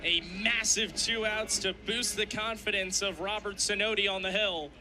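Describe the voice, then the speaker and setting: A man's voice: sits high, steady tone, normal speed, bright, forceful tone, slight background noise. A young man doing radio play-by-play of a live game in clipped, descriptive phrases. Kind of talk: live sports commentary.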